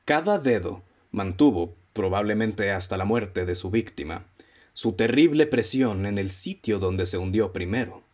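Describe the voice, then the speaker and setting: A man with a Mexican accent playing a composed, analytical detective in a radio play, speaking formally and explaining with measured confidence. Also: on the low side, animated, darkish tone. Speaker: amateur radio drama actor. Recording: dull lo-fi audio with music bed.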